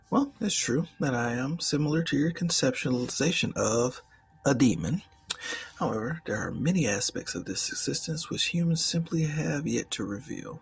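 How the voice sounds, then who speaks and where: Give this man's performance of a demon a suave, mocking menace in an intimate ASMR roleplay. The voice is lively in pitch with plenty of fry, eloquent and formal, often slipping into a breathy whisper. A faint noise sits behind it.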